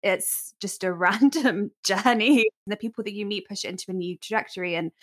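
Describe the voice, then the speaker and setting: An upbeat woman hosts a podcast interview at a middle pitch. She gushes over her guests and laughs easily. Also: a little fast, fairly bright tone. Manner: upbeat, gushing, laughs often. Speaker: podcast host.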